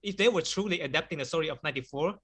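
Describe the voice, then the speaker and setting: A man whose first language is Chinese, chatting casually as an interview guest, laughing often and filling pauses with "like" and "um.". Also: on the high side, sharp tone, breathy edge.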